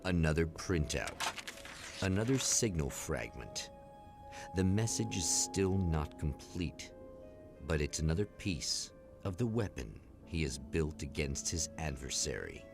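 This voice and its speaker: A man playing the grave, portentous narrator of an eerie anthology TV show in a game, gravelly and deliberate. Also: a bass voice, very animated, exaggerated voice. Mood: grave, ominous. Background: music.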